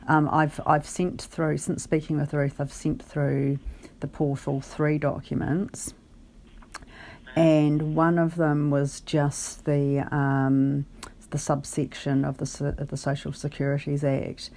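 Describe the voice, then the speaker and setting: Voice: medium pitch, monotone, slow pace, darkish tone. A caller on a phone conversation, explaining their case in a hesitant, careful and articulate way. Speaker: caller, benefit client.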